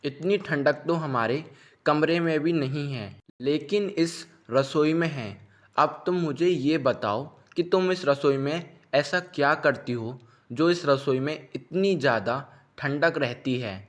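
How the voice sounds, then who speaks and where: A man's voice: fairly high pitch, measured pace, lightly acted. A man playing a poor, naive, admiring husband in a cartoon moral story, with a gushing, wondering manner. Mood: amazed, admiring.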